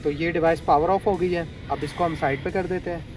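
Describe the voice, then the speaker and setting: Male tutorial host, slightly high voice, casual and explanatory, English words mixed in, music clearly behind. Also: fairly even intonation, a little fast. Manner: explanatory, mixes English words into his speech. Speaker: motovlog host giving a product tutorial. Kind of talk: casual step-by-step how-to narration. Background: background music.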